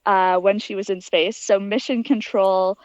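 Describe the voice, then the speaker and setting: A woman's voice: mid-range voice, fairly even intonation, unhurried, a little mellow, dry recording, lo-fi sound. A young adult woman giving conversational, explanatory answers as a guest in an interview. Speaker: interview guest, aspiring astronaut.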